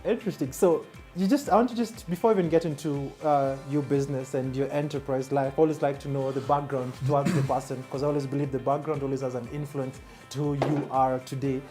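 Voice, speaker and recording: Fast-talking male talk show host. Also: mid-range voice, steady tone, mellow, faint music behind. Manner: fast, with frequent interjections like interesting and mm-hmm. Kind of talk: hosting a business talk show. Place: studio set at a business club.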